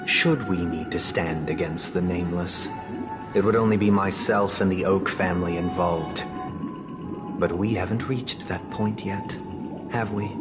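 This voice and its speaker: An actor playing a calm, persuasive young male leader who speaks in a measured, formal, gently condescending way. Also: low voice. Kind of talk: video game voice acting. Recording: game cutscene with loud score. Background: orchestral music.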